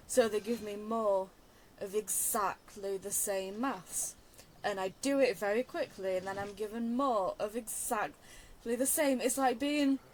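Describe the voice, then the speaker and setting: A woman's voice: medium pitch, unhurried, few pauses, a little hiss or hum, poor audio. A chatty young woman giving casual, digressive, self-deprecating game commentary. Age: young adult. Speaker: game streamer.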